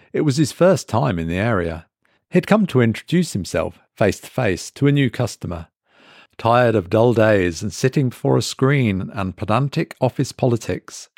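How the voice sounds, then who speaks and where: A man reading a scripted horror story as a dramatic narrator, measured and deliberate with pauses for effect. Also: medium pitch, a little mellow, dry recording, clean studio sound.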